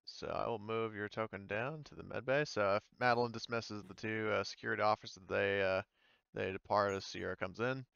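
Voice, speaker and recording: A man's voice: fairly low pitch, steady tone, brisk, vocal fry, fairly bright tone, clean tone, dry recording. A man narrating a tabletop game casually as game master, on a muffled recording.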